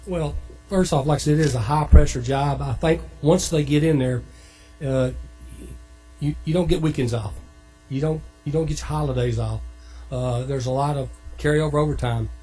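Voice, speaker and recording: Mellow man with a higher-pitched voice. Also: moderate pace, soft background music, poor audio. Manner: matter-of-fact, polite. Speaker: police dispatchers' union representative. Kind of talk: plain-spoken testimony. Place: public government meeting.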